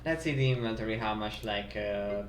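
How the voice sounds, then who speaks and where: A man giving casual live commentary on a game as a streamer, haltingly repeating phrases as he thinks aloud. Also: lowish voice, background noise. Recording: roomy sound with game music. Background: video game music.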